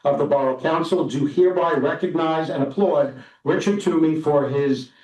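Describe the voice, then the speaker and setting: A man with a fairly high voice reads a formal proclamation at a council meeting in a steady, measured way. He sounds far from the microphone, and the room echoes around him. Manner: steady formal reading with small restarts. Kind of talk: reading a proclamation aloud. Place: council chamber.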